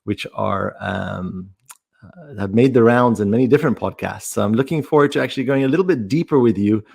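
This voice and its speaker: A man hosting a podcast, introducing an interview conversationally in measured, thoughtful phrases. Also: fairly low pitch, gravelly, darkish tone, breathy edge. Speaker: podcast host.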